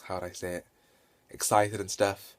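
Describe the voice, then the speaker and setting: A man with a stutter giving an unscripted, enthusiastic game review, halting and often correcting himself, recorded on a handheld phone. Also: sits low, flat delivery. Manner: halting, self-correcting and repetitive. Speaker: video game reviewer and vlogger. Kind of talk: unscripted casual hands-on review.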